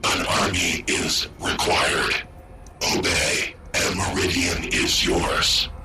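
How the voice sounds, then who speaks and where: An ancient war-machine intelligence speaks through a processed, inhuman filter. Its voice sits extremely low and moves slowly, each line a flat, clipped command. Cold and imperious, it sounds like nothing human in this game. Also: male voice, slight background noise, exaggerated voice. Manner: terse, flat, issues commands.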